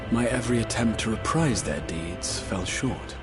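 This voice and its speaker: An actor playing a wistful storyteller narrating a video game cutscene in a measured, grave, poetic way. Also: male voice, on the low side, music in the background, natural voice. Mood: solemn, wistful. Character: solemn, wistful male storyteller recalling youthful dreams.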